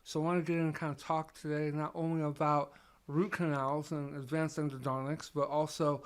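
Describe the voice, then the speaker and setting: A man's voice: fairly high pitch, level tone, brisk, smooth. A man hosting a podcast in a conversational interview style. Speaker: podcast host.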